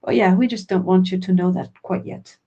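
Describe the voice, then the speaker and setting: A woman's voice: on the low side, steady tone, fairly quick, dark tone, lo-fi sound. A woman whose first language is Croatian, a language teacher answering questions in a webinar in an earnest, plain way. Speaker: language teacher and product founder.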